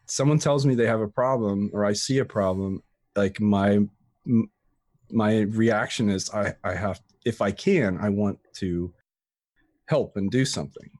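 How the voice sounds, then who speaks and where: A man with a fairly low voice speaks in a loose, conversational way, circling back and restarting his sentences often. The sound is dry and close, with no room around it. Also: unhurried, darkish tone, dry recording. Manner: rambling with frequent restarts and fillers. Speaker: guest, tutorial creator. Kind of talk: casual interview chat.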